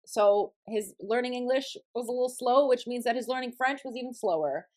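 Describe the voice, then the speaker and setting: A woman talks fast in a somewhat high voice, ranting with heated, profane emphasis. She hosts a casual podcast conversation and pushes every point hard. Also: darkish tone. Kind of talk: casual chat.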